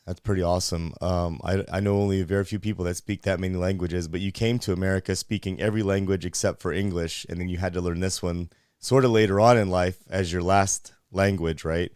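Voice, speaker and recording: A man in his thirties hosting a podcast interview, asking measured, affirming questions. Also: low voice, steady tone, darkish tone, clean tone, dry recording, studio quality. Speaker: podcast host. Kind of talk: conversational interview.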